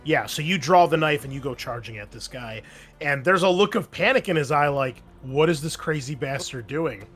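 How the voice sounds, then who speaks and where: A man's voice: a little high, lively intonation, normal speed, a bit bright, faint music behind. A man running a tabletop roleplaying game as game master, explaining rules and narrating with frequent fillers and restarts.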